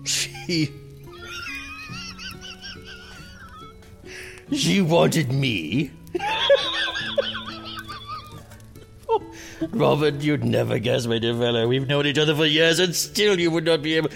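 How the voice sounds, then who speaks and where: A man's voice: fairly high pitch, expressive, normal speed, vocal fry, music in the background. An actor playing a pompous, drunken, self-indulgent prince acting as king in a theatrical, haughty medieval audio drama. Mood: tipsy, amused.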